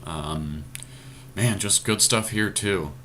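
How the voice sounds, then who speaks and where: A man's voice: low-pitched, takes it slowly, bright, forceful tone. A man casually thinking aloud as he co-hosts a card draft, explaining his picks analytically. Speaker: podcast host and card game drafter. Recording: faint noise, amateur screen-capture recording.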